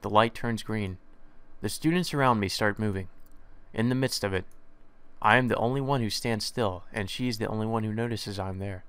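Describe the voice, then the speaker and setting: A man's voice: lowish voice, moderate pace, fairly bright tone, clean tone, no room sound. A young man reading a visual novel aloud as a let's play narrator, earnest and often correcting himself mid-line. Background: guitar music.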